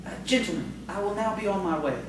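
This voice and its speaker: A woman's voice: medium pitch, quick pace, lightly acted. A woman playing a polite, timid, bookish male schoolteacher in audio theatre, hesitant and prim. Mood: gently encouraging. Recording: distant, echoey, noisy stage recording.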